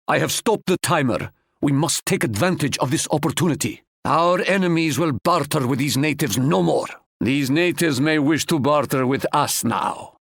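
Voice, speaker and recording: A man's voice: on the high side, a bit bright, no room sound, studio quality. An actor voicing a proud, stately Ottoman sultan in a video game, speaking formally and quoting proverbs. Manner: formal, measured, fond of proverbs.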